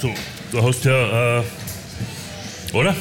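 A man's voice: medium pitch, takes it slowly, music in the background. A man with an Upper Austrian accent co-hosting a talk show, playfully reading out anecdotes. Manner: playful, reads anecdotes aloud with gusto. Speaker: co-host. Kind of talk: casual talk show banter.

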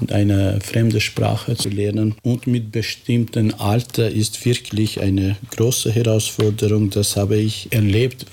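Albanian-accented guy, kinda low and flat, talks slow, stops to fix his words, bit of hiss behind. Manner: halting, searching for words, with self-corrections. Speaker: teacher. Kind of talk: interview answers. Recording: faint background noise.

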